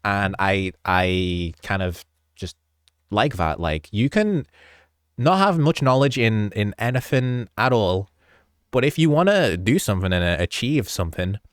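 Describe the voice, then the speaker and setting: A man's voice: fairly low pitch, lively intonation, a little slow, clear, resonant tone, no room sound, clean studio sound. A man with a stuffy, slightly sick voice, rambling through a casual behind-the-scenes talk about his video edits, often stopping himself mid-sentence and chuckling.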